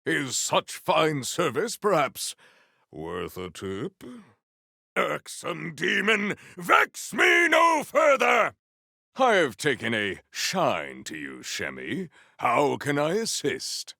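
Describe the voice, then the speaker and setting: An actor playing a proud, formal, honor-bound warrior in a video game, with archaic, theatrical diction. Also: male voice, on the high side, huge pitch swings, takes it slowly, vocal fry, pushed and bright, no echo, shouting, studio quality, exaggerated voice. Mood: courteous, measured, then exclaiming, indignant.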